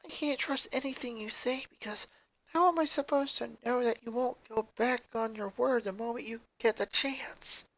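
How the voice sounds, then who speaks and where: A narrator voicing a bitter young boy, pitched up. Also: normal speed, a bit bright, no room sound, rough recording. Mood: hushed, bitter. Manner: accusing, clipped, scolding. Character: bitter, hurt young boy refusing to forgive.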